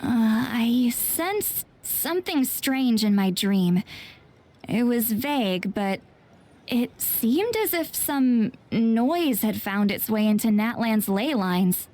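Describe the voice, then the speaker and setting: A woman playing a laid-back, sharp-witted shaman in a video game, with a drawling, nonchalant delivery. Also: a little high, animated, slow pace. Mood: musing.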